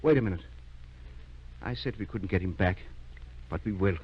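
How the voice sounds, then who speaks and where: An actor playing a kindly, determined older country doctor in an old-time radio drama, measured and earnest. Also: male voice, low-pitched, breathy edge. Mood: resolved. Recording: dull vintage radio recording.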